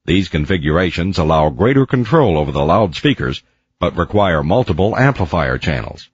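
Male instructional narrator, low register, measured and didactic, very dry voice-over with slightly muffled sound. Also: breathy edge, no echo. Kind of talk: scripted educational narration. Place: close, dry voice-over recording.

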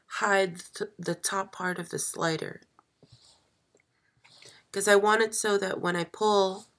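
This is a woman hosting a live craft stream while losing her voice. She speaks slowly and a bit low, with a gravelly fry. She hesitates often and fills the pauses with ums. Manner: slow, hesitant, many fillers, soft. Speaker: craft channel host. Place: craft table indoors. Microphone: overhead camera, hands only.

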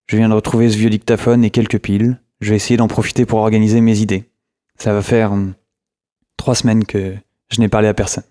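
A man acting a weary, isolated survivor who records a halting, brooding audio diary for a radio drama. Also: on the low side, warm tone, no room sound, studio quality, close to an everyday voice. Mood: weary, uneasy. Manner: halting, brooding, with pauses and hesitations. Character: weary, isolated male survivor holed up in a flat. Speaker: narrator and lead actor.

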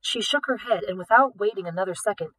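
A woman reads fiction aloud in an expressive narrating style. Her pitch is average for a woman. The recording is dry, with her a little back from the microphone. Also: fast talker, husky, no echo, distant mic, rough recording. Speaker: audiobook reader.

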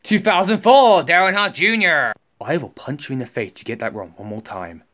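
A woman's voice: deep voice, fairly quick, a bit bright. A woman voicing a bossy, threatening toy race car gang boss in an amateur dub, with a commanding manner. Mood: irritated.

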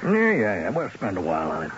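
An actor playing an easygoing, brusque police captain in a 1970s radio drama, casually questioning. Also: male voice, low voice, big pitch swings, fast talker. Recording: dull, noisy old radio broadcast.

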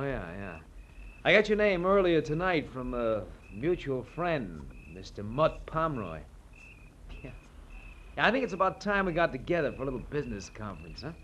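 Guarded shady blackmailer, muffled old recording. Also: male voice, on the high side, a bit bright. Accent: American. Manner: guarded, insinuating. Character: shady, guarded bachelor blackmailer, smooth talking. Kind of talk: old radio crime drama acting. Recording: muffled, slightly noisy old radio recording.

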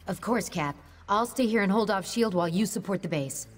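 Brief: confident game superheroine voiced by a woman, mid-pitched, crisp, determined, light music behind. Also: fairly quick, lightly acted. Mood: approving. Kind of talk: video game voice acting.

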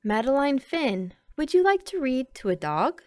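A woman's voice: high-pitched, big pitch swings, normal speed, dry recording, voice pushed up. A woman voicing a friendly, encouraging female librarian in a children's picture book, with a kindly, inviting manner.

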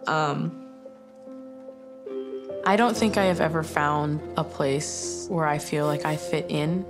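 A woman's voice: deep voice, steady tone, slow pace. A young woman from Maine, a contestant, telling her own story in a reflective personal interview. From Maine, United States. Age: young adult. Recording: guitar music under interview.

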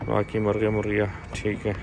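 Gravelly low-voiced young man on a city rooftop. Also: fairly quick, background noise. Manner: relaxed, explains while asking questions. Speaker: farm channel host. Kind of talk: casual vlog narration.

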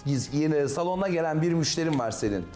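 A man's voice: on the high side, lively intonation, brisk, darkish tone. A middle-aged male hairdresser in a salon, arguing defensively and talking over the others. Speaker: hairdresser contestant. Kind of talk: heated reality-show argument. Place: hair salon, indoors. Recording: roomy salon with music behind.

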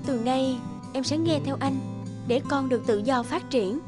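A high-pitched, fretful cartoon mother, acted by a woman. She is overprotective and quick to flare up, and exclaims as she worries. Music plays behind her. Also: natural voice. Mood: apologetic. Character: anxious, overprotective, quick-tempered mother of a young boy. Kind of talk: cartoon voice acting.

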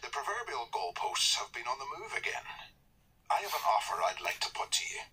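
A bluff Irish farmer-politician in a radio drama. His voice is forceful and very animated, set at a middling pitch. He is folksy and eager to win people over. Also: a little fast, husky, exaggerated voice, low-quality recording. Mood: protesting, persuasive. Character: bluff, wheedling male local politician and farmer.

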